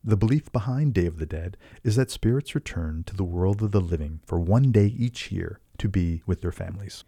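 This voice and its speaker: A man's voice: sits low, lively intonation, moderate pace, dark tone, breathy edge, dry recording, clean studio sound. A man co-hosting, reading scripted explanatory narration.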